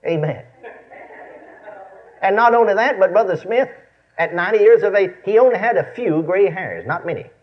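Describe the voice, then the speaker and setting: A dull, lo-fi recording carries a Texan man in his fifties preaching. His voice sits a little high and moves with lively, animated pitch. He teaches like a folksy storyteller, repeating phrases to drive them home and checking that people are following. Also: normal speed, long pauses. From Texas, United States. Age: 50s.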